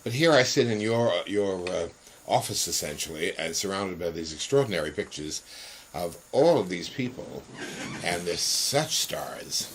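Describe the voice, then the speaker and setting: Mature male interviewer, low register, effusive and discursive. Noisy interview recording. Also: lively intonation, normal speed. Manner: effusive, wordy, circling phrasing. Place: photographer's home studio office. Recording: noisy old interview tape.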